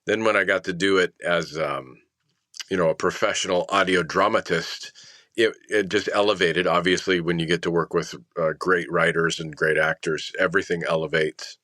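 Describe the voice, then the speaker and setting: A man with a notably low voice and a crisp, bright edge speaks as an interview guest. He reflects thoughtfully, pausing and restarting as he goes. The recording is dry and close. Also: steady tone, no room sound. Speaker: interview guest, sound designer.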